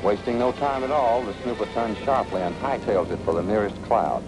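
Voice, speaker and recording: Low-voiced American 1940s film narrator, man. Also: a little fast, rough recording. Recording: 1940s film soundtrack with music.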